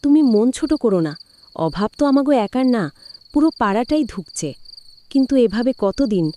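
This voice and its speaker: A woman's voice: on the high side, lively intonation, fairly quick, dark tone, slight background noise, natural voice. A woman playing a poor, resilient village wife in a cartoon drama, anxious yet encouraging. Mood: anxious, resolute.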